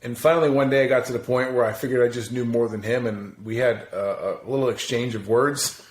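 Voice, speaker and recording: A man's voice: medium pitch, fairly even intonation, a little fast. A man in his forties chatting as a podcast guest, his voice sitting right in the middle of the usual range. He talks loosely, swears often and laughs at his own stories. The recording has a noticeable roomy echo. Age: 40s. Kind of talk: casual interview chat.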